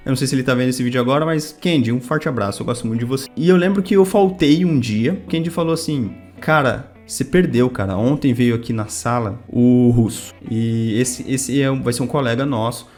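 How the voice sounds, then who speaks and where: Male vlogger, twenties, São Paulo state Brazilian accent, slangy casual storyteller, music underneath. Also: medium pitch. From Campinas, Brazil. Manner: informal, slangy, animated retelling with quoted dialogue. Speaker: video creator telling personal stories. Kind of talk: casual personal storytelling to viewers.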